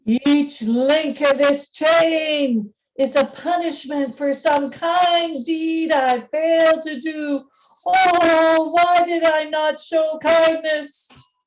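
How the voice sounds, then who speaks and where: Woman as mournful male ghost, high pitch, drawn-out slow delivery, solemn, radio play. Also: steady tone, low-quality recording. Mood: regretful. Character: mournful, wailing ghost of a dead male business partner. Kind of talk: amateur radio play acting.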